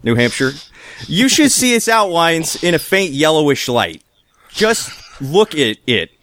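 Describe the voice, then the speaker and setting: Man reading like a lively pitchman. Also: a little slow. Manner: mock pitchman delivery while reading. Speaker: podcast co-host reading an article aloud. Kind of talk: comedic podcast reading with banter.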